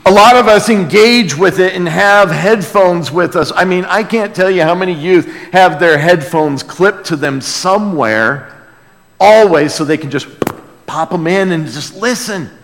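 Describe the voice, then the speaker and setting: A male pastor gives a conversational sermon in a fairly high voice. He takes his time, speaking in a folksy, check-in style, and his words ring with long reverb from a large hall. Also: measured pace. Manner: folksy, asks rhetorical check-in questions. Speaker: pastor preaching. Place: large reverberant room, preaching to a congregation.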